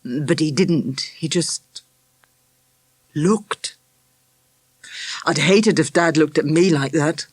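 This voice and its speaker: A storybook reader voicing a thoughtful, earnest schoolboy with a slightly halting way of talking. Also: mid-range voice, slightly rough, dry recording. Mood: troubled, earnest. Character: earnest, thoughtful young schoolboy, troubled but keen.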